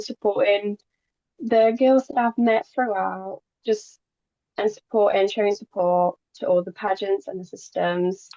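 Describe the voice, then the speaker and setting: A woman's voice: mid-range voice, a little mellow, dry recording, highs cut off. A young woman from Poland who moved to England as a child, a native Polish speaker chatting casually as an interview guest, sometimes stumbling over her words. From Poland, moved to England at ten. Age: young adult. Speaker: podcast guest, pageant queen.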